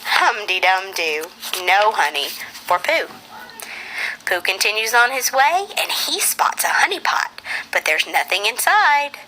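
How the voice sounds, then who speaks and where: A woman narrating a children's storybook for a puppet show in an expressive, sing-song read-aloud manner. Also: medium pitch, unhurried, slight background noise, low-quality recording.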